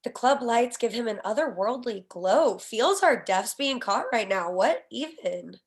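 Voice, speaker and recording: A woman's voice: fairly high pitch, animated, a little slow. A woman reading out the stage directions of a comedy screenplay as scripted narration.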